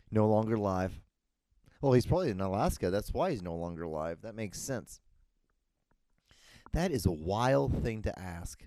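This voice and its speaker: A man hosts his own show, rambling in an amused, crude way and stumbling over words. His voice sits low and mellow. The sound is dry and close. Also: no echo. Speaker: podcast host. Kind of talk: casual solo rambling, reading posts aloud.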